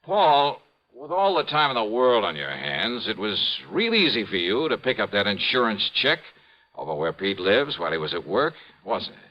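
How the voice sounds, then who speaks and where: An actor playing a brisk, wisecracking insurance investigator with a blunt, wry manner, in 1950s radio detective style. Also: male voice, fairly low pitch, big pitch swings. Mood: commanding. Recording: dull vintage radio recording.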